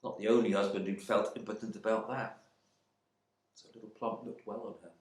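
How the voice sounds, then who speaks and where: Bernard, a man acting a low, stiff husband. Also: steady tone, a little fast, lightly acted. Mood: wry, brooding. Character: Bernard, stiff bitter English husband back from war. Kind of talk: dramatic monologue reading from a novel. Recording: roomy indoor echo.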